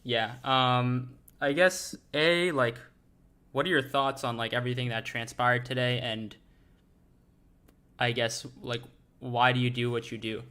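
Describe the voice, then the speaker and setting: A man's voice: mid-range voice, fairly even intonation, unhurried, sharp tone, smooth. A man hosting a casual interview, asking hesitant questions full of fillers. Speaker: podcast host.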